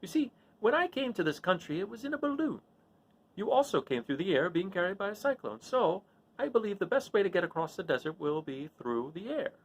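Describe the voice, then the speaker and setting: A man's voice: high voice, big pitch swings, fairly quick, voice pushed up, full character voice, poor audio. A man voicing a chatty little humbug wizard with a showman's grand, explanatory manner in a storybook reading. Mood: chatty, confident. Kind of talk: storybook read-aloud character voice.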